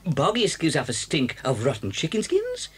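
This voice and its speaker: Cocky, husky, swooping gentleman fox. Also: on the high side, wildly swooping pitch, moderate pace, exaggerated voice. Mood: cocky, boastful. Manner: jaunty, self-assured, theatrical. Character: cocky, doting father fox, clever and boastful. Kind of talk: audio storybook dramatisation.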